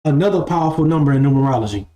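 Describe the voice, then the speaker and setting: A man's voice: medium pitch, expressive, rapid-fire, dark tone. A man in his twenties giving a casual spiritual talk as a live-stream host, repeating his phrases and often asking "right?". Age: 20s. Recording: echoey room with faint music.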